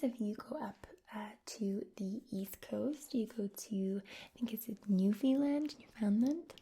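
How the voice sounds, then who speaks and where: A woman from Scotland speaks in a soft, close-up whisper for an ASMR video. Her voice is mellow and very airy, sitting a little high, and she keeps slipping into other accents as she chats. It sounds intimate, right at the microphone. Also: husky. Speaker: ASMR creator.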